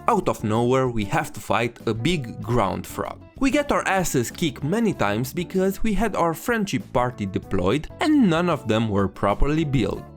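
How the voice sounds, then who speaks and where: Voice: medium pitch, very animated, a little slow, hardly any pauses. Sarcastic game recap narrator, voice swings up and down a lot, faint music under it. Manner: irreverent, sarcastic storytelling. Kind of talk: comedic scripted game story recap narration.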